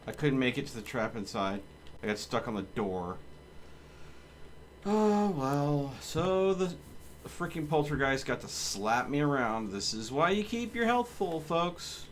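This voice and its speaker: A man's voice: mid-range voice, sing-song, a little slow, slight background noise. A man doing live gameplay commentary as a streamer, talking to himself in short running remarks. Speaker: game streamer. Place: indoors, streaming a game.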